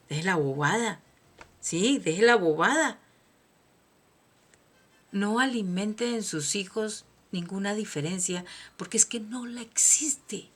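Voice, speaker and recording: A woman from Colombia with a Colombian accent, giving a personal motivational monologue as host, emphatic and preaching, swinging from soft asides to loud declarations. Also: medium pitch, husky.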